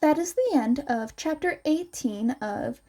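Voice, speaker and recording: A woman's voice: high-pitched, expressive, a little slow, dark tone. A woman reading scripted fan fiction as a narrator. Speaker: podfic narrator and reader.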